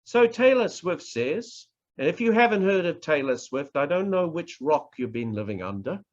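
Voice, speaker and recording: A middle-aged man with a New Zealand accent, teaching in a deliberate, emphatic way with pauses and repetition over a video call. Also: fairly high pitch, animated. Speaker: professor teaching public speaking. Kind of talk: instructional online lecture. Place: indoors, online presentation. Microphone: video call microphone. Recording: muffled lo-fi video-call audio.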